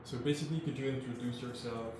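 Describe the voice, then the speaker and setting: A man's voice: mid-range voice, steady tone, fast talker. A man hosting a casual interview, prompting his guest with questions and laughing easily. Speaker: podcast host. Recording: echoey room, distant mic, background noise.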